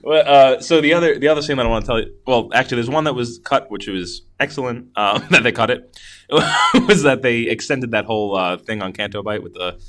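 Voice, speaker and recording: A man's voice: medium pitch, a little fast, a bit bright, slight background noise. A man co-hosting a podcast, chatting casually about films and often chuckling as he recounts scenes. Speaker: podcast co-host. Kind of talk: casual film chat.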